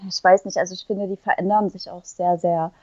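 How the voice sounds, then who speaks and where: A young woman from Saxony, talking with only a trace of her Saxon accent left. She chats casually and hesitantly, filling pauses with "ähm". The recording is dry and a little dull. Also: lowish voice, fairly even intonation, mellow, dry recording. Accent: faint Saxon. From Erzgebirge, Saxony. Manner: chatty, hesitant with fillers. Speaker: interview guest. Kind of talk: casual podcast chat.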